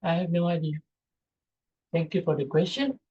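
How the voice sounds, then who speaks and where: A man's voice: fairly high pitch, lively intonation, normal speed, slightly rough, lo-fi sound. A man from Malaysia, presenting online and taking audience questions, reading them aloud and answering with hesitant fillers. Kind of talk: Q&A after an online talk. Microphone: video call.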